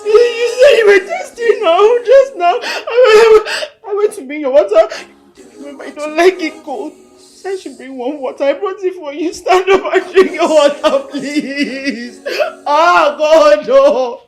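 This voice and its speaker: A woman's voice: mid-range voice, takes it slowly, long pauses, roomy sound, faint music behind, lightly acted. A woman acting a grief-stricken young princess in a melodramatic film, with a Nigerian accent and a pleading, wailing delivery. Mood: wailing, desperate.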